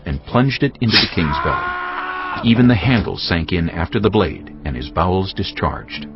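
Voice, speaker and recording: A deep-voiced man narrates scripture in a solemn, measured storytelling style. His intonation rises and falls dramatically. Music plays beneath the slightly dull recording. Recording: dull audio with music behind.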